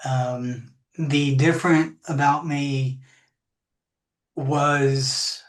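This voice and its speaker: Deep-voiced woman, slow and reflective. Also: fairly even intonation. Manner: reflective, unhurried, many fillers and restarts. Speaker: podcast guest. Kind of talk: casual interview talk. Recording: echoey room.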